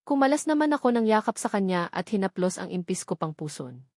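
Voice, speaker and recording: A woman's voice: mid-range voice, very animated, fairly quick, a little mellow, no echo, studio quality. A woman reading a first-person story as an audiobook narrator.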